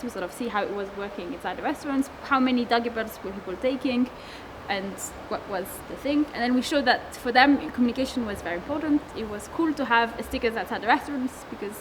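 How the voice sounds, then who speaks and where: A woman's voice: fairly high pitch, fast talker, hardly any pauses. A woman in her twenties from Lebanon and France, founder of a food waste network, answering interview questions casually with many fillers and restarts. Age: 20s. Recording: noisy background.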